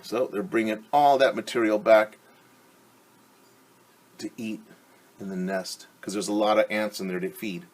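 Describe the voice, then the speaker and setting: A man's voice: fairly low pitch, fairly even intonation, unhurried, long pauses, a little hiss or hum. A man teacher reading a picture book aloud to young children, explaining words, asking them questions and adding playful sound effects. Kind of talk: children's story time read-aloud.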